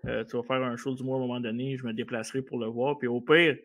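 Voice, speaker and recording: Québécois podcast host, male, encouraging and casual; gravelly, stammery. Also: medium pitch, steady tone, quick pace, fairly bright tone. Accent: Québécois French. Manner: easygoing, encouraging, stammering restarts.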